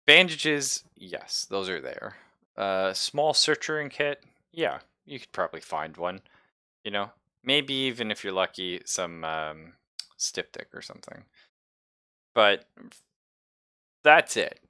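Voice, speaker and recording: A man's voice: fairly low pitch, very animated, slow pace, sharp tone, clear, resonant tone, dry recording. A man improvising narration as game master of a tabletop roleplaying game, conversational and playful, on a close mic. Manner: conversational, playful, swears casually.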